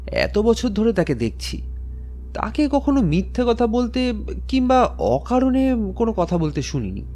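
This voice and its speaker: A man's voice: high voice, a little mellow, full character voice. A man playing a troubled, earnest office worker in an audio story, speaking hesitantly and respectfully. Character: Kishori, troubled male office-going friend, earnest and hesitant. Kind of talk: Bengali audio story acting.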